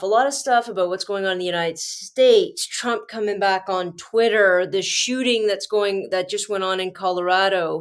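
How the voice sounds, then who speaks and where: A Canadian woman, a teacher, hosting her own show, sounding congested with a cold and talking with passionate emphasis and frequent restarts. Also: mid-range voice. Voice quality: screechy when agitated. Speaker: podcast host and teacher. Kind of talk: casual solo commentary.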